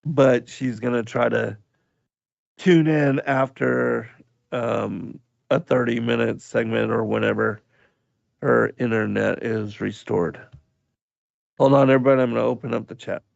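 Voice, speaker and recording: A man hosts a radio livestream with a hoarse voice that keeps giving out, and a deep fry runs through everything he says. He talks very slowly, pausing often and filling the gaps with ums. The sound is dry and close but dull, as if streamed at low quality. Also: steady tone, dry recording. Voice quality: gravelly. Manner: slow, halting, with many pauses and fillers. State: hoarse, voice going out. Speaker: radio show host. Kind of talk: livestream radio talk, reading chat.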